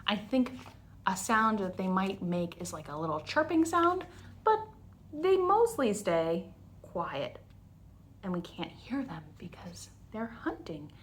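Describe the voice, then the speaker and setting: A woman's voice: medium pitch, big pitch swings, slow, a little hiss or hum. A woman hosting a story time for young children, explaining things in simple, instructional phrases.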